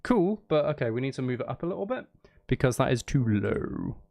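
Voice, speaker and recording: A man's voice: medium pitch, very animated, a little fast, vocal fry, darkish tone, no room sound. A man streaming casually as he makes music, thinking aloud in a playful, rambling way. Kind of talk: casual livestream chat while making music. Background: electronic music being composed.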